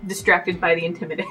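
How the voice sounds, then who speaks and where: A woman's voice: on the low side, a bit bright, low-quality recording. A woman playing a tabletop game, chatting casually in a crude, giggly way. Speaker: tabletop RPG player. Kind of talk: casual chat during a game. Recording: music behind the talk. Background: music.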